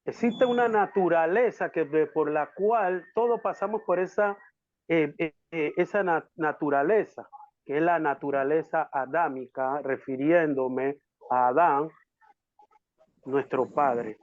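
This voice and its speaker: A man preaching a sermon as a pastor, haltingly, repeating words for emphasis. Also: fairly high pitch. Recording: echoey, dull lo-fi audio.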